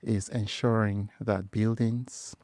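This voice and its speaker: A man's voice: lowish voice, little pitch movement, slow pace, a little mellow, no room sound. A man with a Nigerian accent, a podcast guest and engineer, speaking thoughtfully with hesitations and fillers. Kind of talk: conversational interview.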